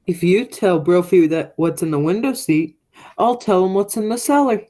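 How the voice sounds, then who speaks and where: A low-pitched, menacing criminal brother in a radio play, acted by a teenage woman. He is stubborn and bossy, insisting on getting his way. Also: normal speed, dark tone, close to an everyday voice. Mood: threatening. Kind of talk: radio play acting, high school theatre.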